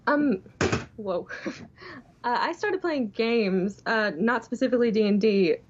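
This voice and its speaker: A woman of nineteen with a fairly high voice chats over a slightly dull call. She tells anecdotes in a casual, youthful and giggly way. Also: animated, unhurried, slight background noise. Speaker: podcast guest. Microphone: remote call.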